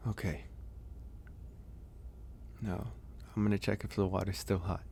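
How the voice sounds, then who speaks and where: A man voicing a gentle, teasing werewolf in an intimate, soft-spoken ASMR roleplay, with a gravelly, unhurried delivery. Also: low voice, flat delivery, slight background noise. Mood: caring. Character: gentle, teasing male werewolf caring for a guest.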